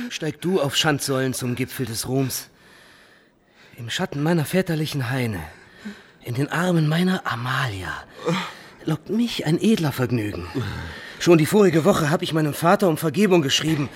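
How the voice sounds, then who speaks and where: Man acting a fiery young rebel, highish voice. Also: slight background noise, natural voice. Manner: impassioned, declamatory. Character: fiery, proud, idealistic young nobleman turned rebellious student. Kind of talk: German radio play acting.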